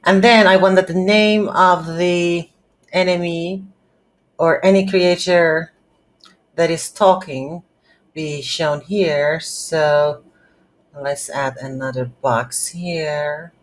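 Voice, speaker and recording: A woman hosting a casual game development tutorial, speaking haltingly as she thinks aloud while working. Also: lowish voice, steady tone. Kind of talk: casual screen-recorded software tutorial.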